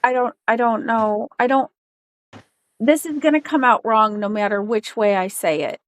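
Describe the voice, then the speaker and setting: A woman with a high voice talks on a tense phone call. She stays calm and hesitant, choosing her words carefully as she asks probing questions. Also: moderate pace, no echo. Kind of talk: tense personal conversation.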